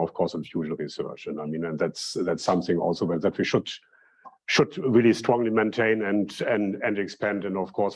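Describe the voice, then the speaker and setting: A man who directs a study program, welcoming new students in a friendly, halting way with many restarts. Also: fairly low pitch, darkish tone. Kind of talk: casual welcome talk.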